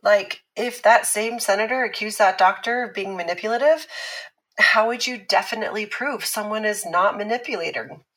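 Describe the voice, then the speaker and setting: An actress playing a calm, analytical woman expert who explains patiently and teases a little. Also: medium pitch, lightly acted, low-quality recording. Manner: measured, explanatory, lightly teasing. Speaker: expert on abuse recovery. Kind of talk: scripted podcast drama dialogue.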